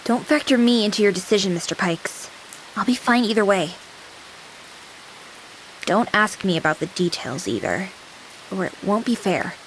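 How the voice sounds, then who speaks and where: A woman's voice: medium pitch, expressive, normal speed, long pauses, a little hiss or hum, natural voice, poor audio. A woman playing a young female AI companion with an anime-girl persona in a visual novel game, devoted and formal but playful. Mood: firm. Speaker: game character.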